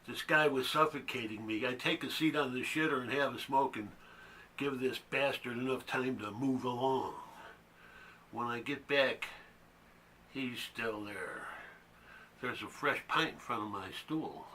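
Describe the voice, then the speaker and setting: A man's voice: medium pitch, unhurried, sharp tone. A man playing a bitter, foul-mouthed loner delivering a sardonic, terse dramatic monologue from a one-act play. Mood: sardonic, weary. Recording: faint background noise.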